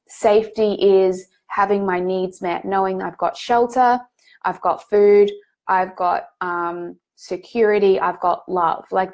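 A woman with a head cold, sounding a bit nasal, hosting a live group coaching call in a gentle, reassuring way with frequent ums and restarts. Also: medium pitch.

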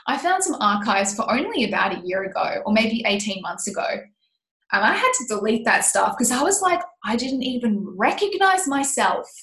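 Animated woman coach in an echoey room. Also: a little high, normal speed. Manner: animated, laughs often, acts out her past self. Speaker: business coach leading a mastermind group. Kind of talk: casual talk to a coaching group.

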